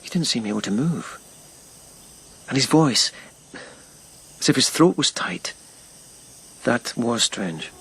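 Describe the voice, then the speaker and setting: An actor playing a thoughtful, reserved police lieutenant in ancient Japan, speaking in reflective, halting phrases in a radio drama. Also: male voice, mid-range voice, animated, a bit bright, slightly rough, exaggerated voice. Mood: earnest, pondering. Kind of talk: naturalistic radio drama acting.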